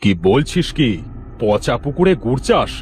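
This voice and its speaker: A man's voice: mid-range voice, lively intonation, a little slow, darkish tone, a little hiss or hum, close to an everyday voice. A man voicing a greedy, spiteful, bossy village headman in a children's cartoon story. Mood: astonished.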